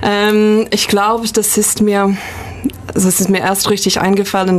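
Female guest, Australian accent, native English speaker, mid-range pitch, modest conversational delivery, faint noise. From Sydney, Australia. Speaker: guest, singer. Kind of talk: casual radio interview.

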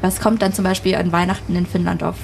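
A woman's voice: fairly low pitch, little pitch movement, fast, vocal fry, darkish tone, a little hiss or hum. A young adult woman interviewing, asking curious, probing questions.

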